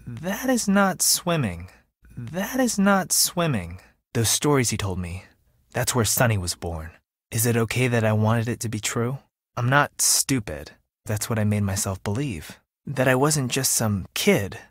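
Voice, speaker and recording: Animated, emotional young man. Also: mid-range voice, a little slow, dry recording, studio quality. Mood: hurt, regretful. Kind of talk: video game voice acting.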